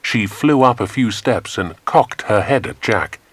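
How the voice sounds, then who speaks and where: An English man narrates a children's adventure audiobook. His voice sits fairly low and moves expressively up and down. The storytelling is measured and dramatic, with a dry, close sound. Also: animated, a bit bright, breathy edge, no echo.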